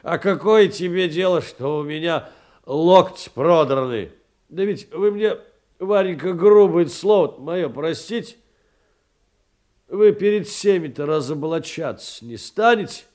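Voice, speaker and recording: An actor playing a poor, wounded clerk in a theatrical audio play, rambling indignantly in halting colloquial speech full of rhetorical questions. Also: male voice, a little high, lively intonation, darkish tone. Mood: wounded indignation. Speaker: stage actor.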